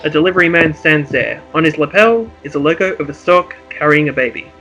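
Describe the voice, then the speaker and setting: A man co-hosting a podcast, chatting and reading script directions in a slightly halting, conversational way. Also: a little high, bright, forceful tone, dull audio, faint music behind. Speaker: podcast co-host and script narrator. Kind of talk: casual chat and reading of screen directions.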